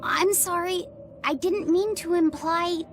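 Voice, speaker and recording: High-pitched, gentle young boy. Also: steady tone, slow pace, faint music behind, heavily acted. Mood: apologetic. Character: gentle, earnest young boy, polite and curious. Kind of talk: English video game dub acting.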